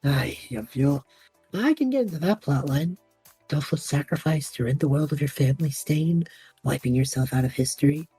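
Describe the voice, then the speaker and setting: A woman voicing a cocky, theatrical young male magic student with a husky voice in an audio drama. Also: low-pitched, animated, moderate pace, warm tone, dry recording, soft background music, full character voice, low-quality recording. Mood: grandly dramatic. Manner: theatrical, self-important, easily wounded. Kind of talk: audio drama character banter.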